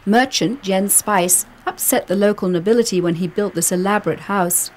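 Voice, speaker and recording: A woman reading scripted travel documentary narration in a measured, descriptive way. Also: medium pitch. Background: background music.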